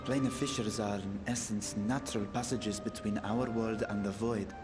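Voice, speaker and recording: An actor voices a stern, cautious male sage from a fantasy video game. His speech is formal and solemn, with old-fashioned phrasing and a slightly low pitch. Music is clearly audible underneath. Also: steady tone, normal speed, close to an everyday voice. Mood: grave, questioning. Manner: formal, solemn, archaic diction. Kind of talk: scripted video game dialogue.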